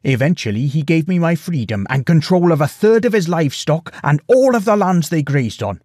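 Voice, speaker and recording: Man acting a big, gruff, loyal pig farmer. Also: mid-range voice, measured pace, darkish tone, dry recording, clean studio sound, lightly acted. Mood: menacing, stern. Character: huge gruff loyal male pig farmer, blunt and hearty.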